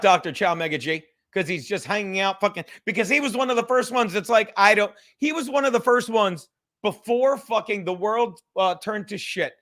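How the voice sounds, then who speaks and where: A man with a high, bright voice hosts a loose livestream hang. He rambles casually, putting himself down and swearing freely as he goes. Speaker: livestream host. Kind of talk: casual profane livestream chat.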